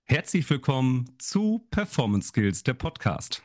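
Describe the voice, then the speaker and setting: A man co-hosting a podcast, his voice still a bit hoarse from the flu, talking hesitantly with many fillers. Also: mid-range voice, sing-song, unhurried, a little mellow, a little hiss or hum. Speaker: podcast co-host. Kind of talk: podcast chat.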